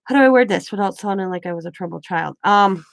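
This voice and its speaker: A woman's voice: mid-range voice, quick pace, creaky, no room sound. A woman from the Midwest, chatting casually and rambling while she crafts, laughing often. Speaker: craft vlogger.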